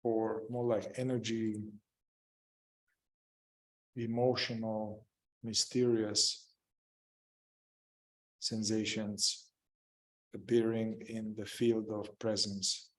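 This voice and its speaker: A man guiding a meditation in gentle, unhurried short phrases, improvising as he goes and leaving long pauses. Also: fairly low pitch, flat delivery. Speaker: meditation guide. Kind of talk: guided meditation, improvised spoken instruction.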